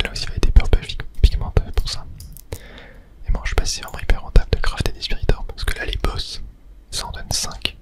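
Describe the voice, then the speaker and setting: A person whispering casual ASMR game commentary, chatting and thinking aloud in a soft, breathy, unvoiced whisper. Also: rough recording. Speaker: gaming video host.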